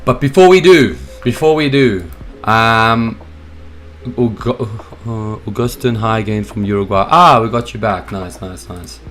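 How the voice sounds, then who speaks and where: Hoarse, hyped-up young male streamer. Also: on the low side, a little slow, a bit bright, soft background music. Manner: hyped, greets the chat by name. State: hoarse from a cold. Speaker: streaming host. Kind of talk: casual live-stream chat. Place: home office.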